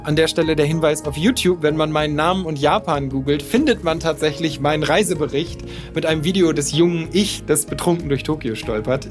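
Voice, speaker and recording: Male narrator, thirties, from Lower Saxony, slightly high voice; candid, self-mocking storyteller over faint music. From Lower Saxony, Germany. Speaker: podcast narrator. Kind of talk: personal storytelling.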